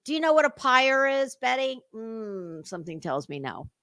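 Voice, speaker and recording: A woman's voice: on the high side, very animated, moderate pace, bright, forceful tone, dry recording. A woman giving casual reaction commentary as a livestream host, sarcastic, mocking and foul-mouthed. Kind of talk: casual reaction commentary over a played clip.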